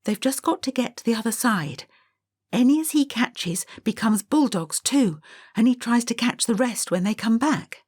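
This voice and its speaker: Woman doing a shy, high-voiced young girl. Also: expressive, moderate pace, a little mellow, no echo, clean studio sound. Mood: eager. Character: shy, lonely young evacuee girl in 1940s Britain.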